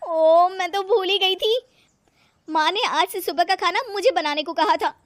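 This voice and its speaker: A woman's voice: very high voice, unhurried, dry recording, studio quality, pitched above the performer's own voice. A woman voicing a lazy, brash young daughter-in-law in a cartoon moral story, complaining and dramatic. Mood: dismayed.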